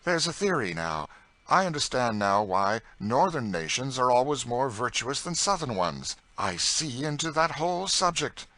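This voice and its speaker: A witty, idle young gentleman talks with lazy irony, as a man reads him aloud. The voice is bright and clear-edged, mostly in a relaxed register. He teases and lectures in the same easy drawl. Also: medium pitch, moderate pace, pitched above the performer's own voice. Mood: teasing. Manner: bantering, ironic, then impassioned. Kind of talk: audiobook character voice.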